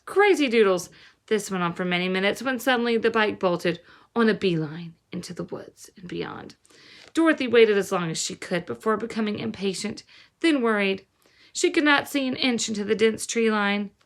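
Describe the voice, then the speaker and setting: A woman's voice: medium pitch, lively intonation, normal speed. Woman reading a children's novel aloud. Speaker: reader, teacher-like storyteller.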